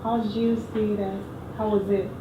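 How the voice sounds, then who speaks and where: A woman's voice: medium pitch, flat delivery, normal speed, highs cut off. A woman hosting an interview, asking questions and giving brief, encouraging replies. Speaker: interview host. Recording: echoey room, mic at a distance, noisy.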